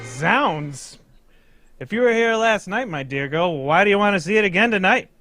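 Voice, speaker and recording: A man's voice: sits high, lively intonation, normal speed, pushed and bright, faint music behind. A man doing a pompous, florid old stage ham. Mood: blustery. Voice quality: florid, exclamatory old-time delivery. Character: pompous, theatrical older male actor sidekick. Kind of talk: 1940s radio acting, table read.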